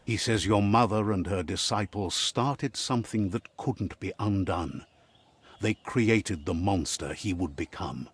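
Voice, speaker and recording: A man playing a grave storyteller, narrating a dark animal fable for a video game in a measured, solemn way. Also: sits low, breathy edge, heavily acted. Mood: grave, somber. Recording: music behind the voice. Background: game music.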